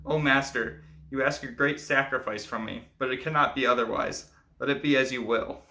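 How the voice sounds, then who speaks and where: Male narrator as fairy-tale peasant: young, poor, humble, pleading, mid-range voice with tense brightness. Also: mid-range voice, steady tone, normal speed, lightly acted. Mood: submissive. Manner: pleading, deferential.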